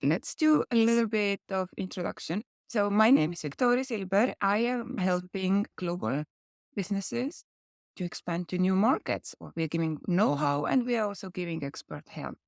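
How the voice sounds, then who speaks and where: A woman's voice: mid-range voice, animated, gravelly, dry recording, studio quality. A woman host, upbeat and encouraging as she interviews over a video call. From Estonia. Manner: upbeat, quick to say "nice".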